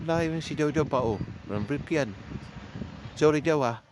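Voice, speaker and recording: Man, Filipino accent, slightly high voice, casual vlog voice-over, informal and chatty, clear background noise. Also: normal speed. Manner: informal, chatty, addresses viewers. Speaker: vlogger. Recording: clearly noisy amateur recording.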